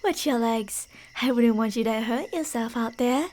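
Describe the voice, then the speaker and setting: A woman playing a delusional, playfully menacing yandere killer in an ASMR roleplay, teasing in a sing-song way and slipping into breathy whispers. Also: fairly high pitch, normal speed, a little mellow, close to an everyday voice. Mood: delighted obsession.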